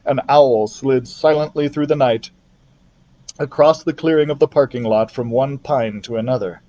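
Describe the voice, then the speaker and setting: A man's voice: mid-range voice, moderate pace, dark tone, dull audio. A man narrating a children's story expressively, as a library storyteller.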